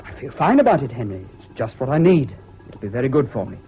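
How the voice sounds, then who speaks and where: Brittle English military man voiced by a male actor, high swooping delivery, radio play on a dull, hissy old recording. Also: sing-song, quick pace, close to an everyday voice. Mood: brittle cheer. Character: anguished, brittle English serviceman, glib then breaking down. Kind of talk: 1970s British radio drama acting.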